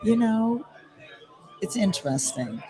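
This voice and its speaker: A woman's voice: fairly high pitch, big pitch swings, slow, over music, poor audio. A woman in her fifties from the Netherlands, chatting in an interview and saying "you know" often. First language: Dutch. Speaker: guest. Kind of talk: casual interview chat. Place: club bar during a social evening. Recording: loud crowd chatter behind.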